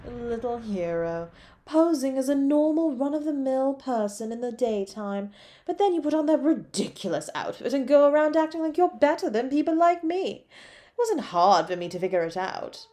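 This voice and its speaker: A woman's voice: sits high, very animated, moderate pace, warm tone, pitched above the performer's own voice. A woman playing a bored, taunting supervillain in a superhero audio roleplay, drawling and mocking theatrically. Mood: gleeful taunting.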